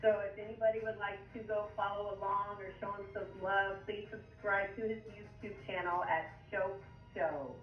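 A woman's voice: medium pitch, fairly even intonation, moderate pace, few pauses, far from the mic. A woman calling in to a radio show, friendly and straightforward. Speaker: radio call-in listener. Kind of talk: phone-in shout out on a radio show. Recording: dull, roomy radio broadcast with music.

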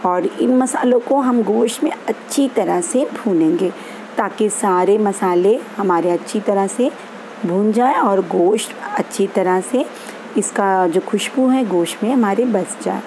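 A woman hosting a home cooking channel, calmly explaining each step of a recipe in an easygoing, instructive way. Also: medium pitch, a little slow, slight background noise, poor audio. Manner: easygoing and instructive, repeats steps. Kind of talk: home cooking tutorial voice-over. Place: home kitchen.

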